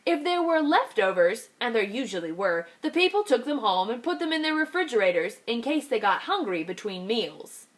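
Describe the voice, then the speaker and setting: Teacher, female, bright higher voice, very animated storybook read-aloud for little kids. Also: normal speed, hardly any pauses. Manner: lively, expressive reading to young children. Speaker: kindergarten teacher.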